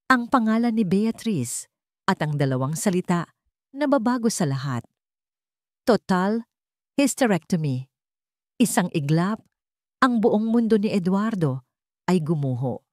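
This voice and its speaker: A woman with a Filipino accent narrating a scripted story in a dramatic, deliberate storytelling manner. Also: on the low side, darkish tone, dry recording, clean studio sound.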